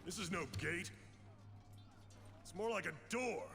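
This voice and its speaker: A brash Viking warrior in a game, voice pushed very high and loud. He is boisterous and drawn-out, ringing with long reverb over music. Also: vocal fry, exaggerated voice. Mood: amused, bold. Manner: boisterous, shouting. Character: brash, roaring male Viking warrior in battle.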